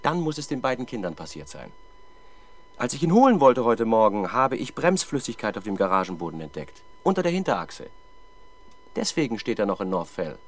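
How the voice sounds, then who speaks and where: A man's voice: lowish voice, sing-song, a little fast. An actor playing a diligent, matter-of-fact police sergeant reporting to his superior in a radio crime drama. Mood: investigative. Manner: businesslike, reporting, persistent. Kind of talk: 1970s German radio crime drama acting.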